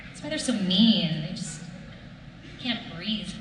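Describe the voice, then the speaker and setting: A woman's voice: medium pitch, expressive, slow. A woman panelist chatting casually among fellow voice actors. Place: large convention panel room. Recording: echoey hall, distant mic, clear noise.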